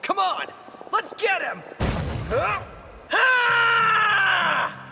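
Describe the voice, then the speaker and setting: A man playing a whining, excitable rank-and-file knight in a video game, exclaiming melodramatically. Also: very high-pitched, very slow, vocal fry, shouting, long reverb tail, loud music behind the voice, exaggerated voice. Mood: rallying, alarmed. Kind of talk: scripted video game acting.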